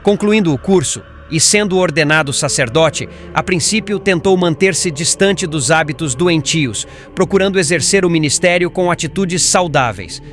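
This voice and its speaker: A man's voice: fairly high pitch, expressive, moderate pace, hardly any pauses, fairly bright tone, soft background music. A man reading the part of a solemn, compassionate spirit mentor in an audiobook, with formal, elevated diction. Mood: grave, explanatory. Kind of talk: scripted audiobook character reading.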